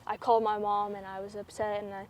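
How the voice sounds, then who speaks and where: A woman's voice: mid-range voice, flat delivery, fast, a crowd in the background. A teenage girl answering interview questions candidly and reflectively, with many "like" fillers. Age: teens. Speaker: student athlete guest. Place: school campus, indoors.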